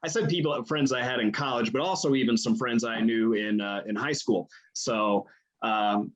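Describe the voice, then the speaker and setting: Halting, agreeable man in casual chat. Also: mid-range voice, steady tone. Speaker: co-writer, panel guest. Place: remote group call on a computer.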